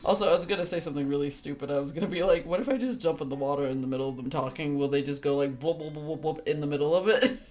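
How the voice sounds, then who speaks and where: A woman's voice: low-pitched, very fast, few pauses, a little mellow. A woman streaming a video game, giving casual, playful live commentary in an informal way. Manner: informal, playful, reacting aloud.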